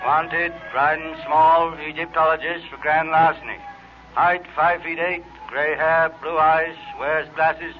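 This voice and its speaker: An actor playing an official, emphatic police dispatcher, reading bulletins in a formal, clipped way in an old radio drama. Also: male voice, a little high, level tone, unhurried, natural voice. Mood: urgent, official. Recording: muffled, noisy old radio recording.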